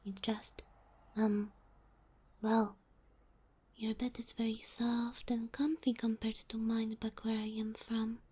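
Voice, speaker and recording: A woman voicing a sweet, shy, submissive fox spirit familiar in an ASMR roleplay, with a soft, breathy voice that often drops to a whisper and a gentle, bashful way of talking. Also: a little high, level tone, slow, pauses often. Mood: flustered, bashful. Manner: gentle, bashful, flustered hesitations.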